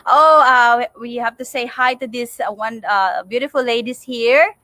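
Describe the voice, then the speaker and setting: A woman with a Filipino accent co-hosts a casual livestream. Her voice sits fairly high and the sound is dry and close. She is chatty and keeps agreeing as she goes. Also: normal speed, sharp tone, no room sound. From Philippines. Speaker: co-host of a livestream.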